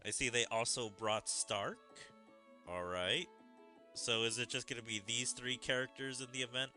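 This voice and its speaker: Male streamer, casual commentary, rambling asides, very bright tone, faint music behind. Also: mid-range voice, normal speed. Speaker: gaming streamer.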